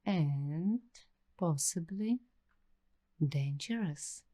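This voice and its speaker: A woman speaks very slowly in a soft, mellow, hushed voice, slipping into whispers. She plays a paranoid, secretive waitress in an ASMR mystery roleplay, weighing each phrase with care. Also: sits low, expressive. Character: paranoid young waitress investigating a creepy attic mystery. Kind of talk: ASMR roleplay, slow scripted monologue.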